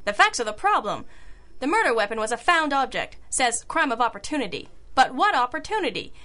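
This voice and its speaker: An actress playing a sharp, sardonic female private detective, brisk and needling, in old-time radio style. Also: high voice, very animated, heavily acted. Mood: sceptical, brisk. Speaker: detective and narrator.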